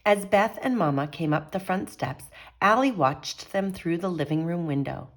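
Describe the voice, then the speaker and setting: Low-voiced woman reading a children's book. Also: animated, normal speed. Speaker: read-aloud storyteller.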